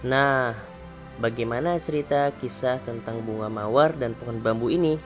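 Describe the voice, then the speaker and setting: A male primary school teacher reading a children's fable aloud in a calm, clear voice for a remote class. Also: mid-range voice, moderate pace, music in the background. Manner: calm, clear storybook narration.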